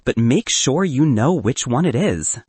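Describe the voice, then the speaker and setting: Man voicing a gentle middle-aged father. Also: medium pitch, expressive, a little slow, slightly rough, no room sound. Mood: gentle, earnest. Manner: gentle, plain-spoken.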